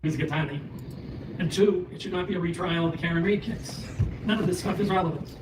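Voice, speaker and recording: A hoarse man makes a formal argument before a judge, pausing often to hesitate and clear his throat. His voice reaches the mic from a distance and echoes around the courtroom, with steady background noise under it. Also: sits high. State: losing his voice. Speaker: special prosecutor arguing in court.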